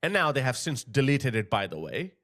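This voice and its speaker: A man's voice: mid-range voice, sing-song, brisk, fairly bright tone. Indignant Pakistani-born man commenting. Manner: indignant, sarcastic, with restarts and asides. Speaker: commentary channel host. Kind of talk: opinionated commentary to camera.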